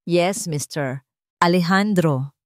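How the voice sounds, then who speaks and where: A woman voicing a sharp male lawyer in a story, businesslike and deferential to his client. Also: on the low side, slow pace, no echo, clean studio sound. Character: smooth, sharp, obedient male defence lawyer.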